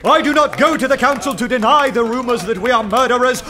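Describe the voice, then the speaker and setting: An actor playing a bold, sardonic poet posing as a fierce anarchist, oratorical and wry in a radio play. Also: male voice, extremely high pitch, quick pace. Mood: fiery oratory. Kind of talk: theatrical radio drama acting. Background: dramatic music.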